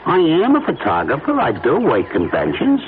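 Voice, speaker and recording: Sly, swooping small-time blackmailer. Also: male voice, fairly high pitch, huge pitch swings, normal speed. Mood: wheedling, menacing. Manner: folksy uneducated talk, oily false friendliness. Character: sly male small-time blackmailer photographer, falsely chummy, wheedling. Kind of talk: old-time radio drama acting. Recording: tinny band-limited radio recording, faint noise.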